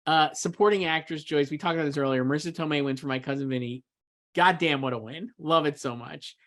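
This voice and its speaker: A man's voice: a little high, fast talker, fairly bright tone. Emphatic man chatting casually as co-host. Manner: emphatic, mildly sweary. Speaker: awards podcast co-host and pundit. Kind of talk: casual film awards chat.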